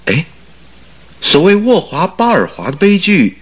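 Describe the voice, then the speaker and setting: An actor in a radio drama playing a thoughtful, agreeable doctor and sidekick with a musing manner. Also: male voice, sits high, huge pitch swings, measured pace, breathy edge, heavily acted. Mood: pondering. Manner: musing, hesitant recollection. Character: thoughtful, agreeable male doctor, detective's loyal companion.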